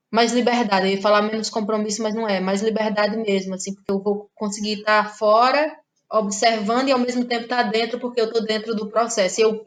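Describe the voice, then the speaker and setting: A young woman from northeastern Brazil, speaking with a Ceará accent, answers interview questions in a thoughtful, searching way. Her voice sits a little high for a woman, and she often circles back to rephrase her ideas. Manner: reflective, thinks aloud with restarts and frequent "né?". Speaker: guest playwright. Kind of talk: podcast interview.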